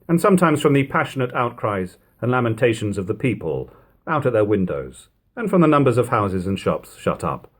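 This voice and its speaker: A man reading an old literary text aloud as narration, in measured, emphatic phrasing. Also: medium pitch. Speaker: reader of a classic book. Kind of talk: audiobook-style literary narration.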